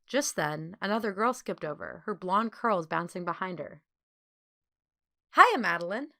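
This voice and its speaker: A woman reading a children's story as an audiobook narrator, with clear phrasing and expressive delivery. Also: lowish voice, normal speed.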